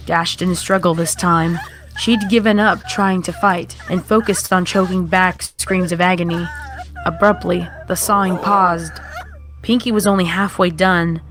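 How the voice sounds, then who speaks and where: A woman reading out a horror story as scripted narration. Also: lowish voice. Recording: music playing behind the voice.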